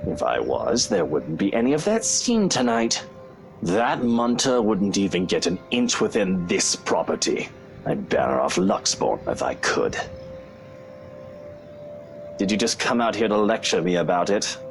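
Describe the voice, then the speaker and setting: An actor playing an arrogant, sulky young husband, sarcastic and dismissive. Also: male voice, lowish voice, very animated, unhurried, creaky, heavily acted. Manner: sarcastic, dismissive, theatrical swoops. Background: faint game music.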